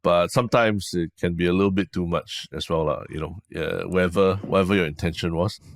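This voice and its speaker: A man's voice: deep voice, fairly quick, no echo. A man in his thirties with a Singaporean accent, co-hosting a podcast, teasing his partner in a dry, hesitant way. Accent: Singaporean English. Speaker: podcast co-host. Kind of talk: casual chat.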